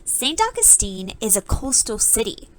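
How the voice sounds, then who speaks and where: A woman's voice: a little high, sing-song, normal speed. A woman teacher leading a read-aloud reading lesson for children, explaining things step by step in an instructive way. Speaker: elementary school teacher.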